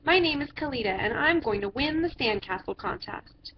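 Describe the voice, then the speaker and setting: Woman reader playing confident picture-book girl, high and friendly, matter-of-fact; lo-fi sound with noise. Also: lively intonation, moderate pace. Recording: dull lo-fi sound with background noise.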